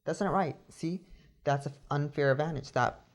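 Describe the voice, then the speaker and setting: A man's voice: a little high, moderate pace. Earnest young man thinking aloud. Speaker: interviewee. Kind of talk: reflective personal account.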